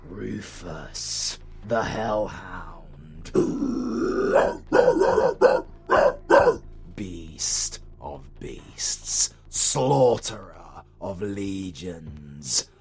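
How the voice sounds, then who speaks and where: Male actor as a theatrical British supervillain, audio roleplay. Creaky, husky, slow-weighted delivery, haughty and melodramatic. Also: deep voice, expressive, exaggerated voice. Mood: menacing, summoning. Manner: grandiose, melodramatic, sardonic. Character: pompous, theatrical retired supervillain dark lord, male, easily outraged. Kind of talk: comedy audio roleplay acting.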